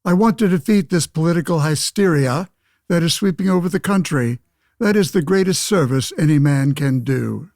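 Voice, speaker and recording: An actor playing a pompous, old-fashioned senator of 1911, speechifying against suffrage in a radio play. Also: male voice, a little high, moderate pace, darkish tone, dry recording, studio quality, natural voice. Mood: self-righteous. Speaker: debate guest. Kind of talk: pseudo-radio play, oratorical debate.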